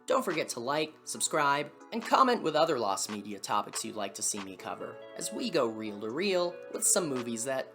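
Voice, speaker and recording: A woman's voice: a bass voice, sing-song, normal speed, hardly any pauses, music in the background. A woman hosting a video series, reading scripted video essay narration with wry asides. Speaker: host of a video series on lost media.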